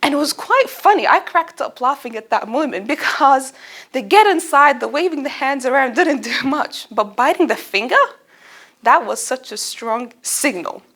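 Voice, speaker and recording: A young woman with a fairly high voice gives a light, humorous speech about her own life. She is a native Arabic speaker. She tells it expressively, like a storyteller acting out small moments for her listeners. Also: normal speed. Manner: expressive, animated storytelling with acted-out asides. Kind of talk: humorous personal speech to an audience.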